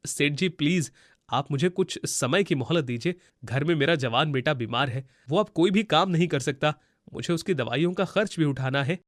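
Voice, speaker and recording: A man voicing a troubled, poor farmer and gentle father in a cartoon story, with an earnest, pleading manner. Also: on the high side, animated, normal speed, no room sound, studio quality.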